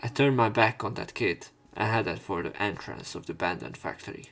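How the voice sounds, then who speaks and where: A man with a fairly low voice hosts a let's play of a visual novel. He reads the story text aloud and mixes in his own casual, unpolished commentary. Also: fairly quick, vocal fry, fairly bright tone. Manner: informal, swearing in reactions. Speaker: let's player.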